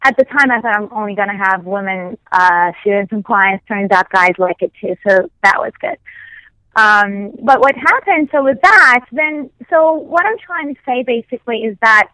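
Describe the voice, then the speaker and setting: A woman, not a native English speaker, telling her story as a podcast guest in a lively, animated way, acting out the conversations she recounts. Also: fairly high pitch, moderate pace, dry recording, poor audio. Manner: animated storytelling, acts out quoted exchanges. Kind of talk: casual interview conversation.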